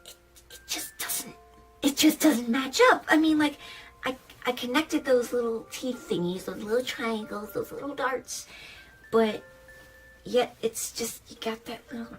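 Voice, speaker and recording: A woman's voice: fairly high pitch, unhurried, faint music behind, poor audio. A woman sewing vlogger talking through her project, part narration and part casual chat.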